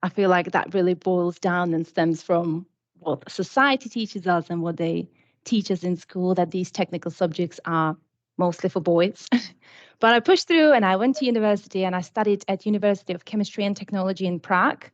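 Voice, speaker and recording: A woman's voice: fairly low pitch, moderate pace. Chatty woman from the Czech Republic. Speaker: panel guest, product systems engineer. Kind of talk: casual panel talk. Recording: dull lo-fi sound.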